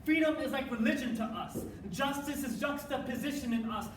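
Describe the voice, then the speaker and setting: A man performing rehearsed, dramatic spoken word in a measured, theatrical manner. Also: very high voice. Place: live competition performance in a room. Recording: echoey room, distant mic, faint noise.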